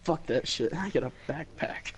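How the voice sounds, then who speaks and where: A man's voice: a little high, expressive, moderate pace, breathy edge. A man taking part in a tabletop role-playing game, chatting casually and informally as a player. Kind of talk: casual chat during a game.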